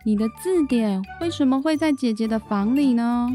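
A woman's voice: on the high side, lively intonation, normal speed, warm tone, soft background music, natural voice. A woman acting a weary, placating mother in a children's radio drama. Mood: exasperated. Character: mother refereeing her daughters, weary and placating.

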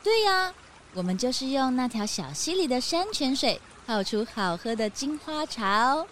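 A woman with a Taiwanese Mandarin accent voicing a gracious, welcoming female sika deer in a children's audio drama, speaking in a gentle, hospitable manner. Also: high-pitched, very animated, normal speed, slight background noise. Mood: pleasant, proud.

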